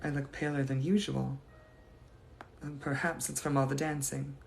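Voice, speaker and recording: A man's voice: mid-range voice, flat delivery, normal speed, vocal fry, a little hiss or hum, close to an everyday voice. A man voicing the ghost of a young lady from the 1880s, speaking in a formal, old-fashioned and gracious manner. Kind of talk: improvised live roleplay answering viewers.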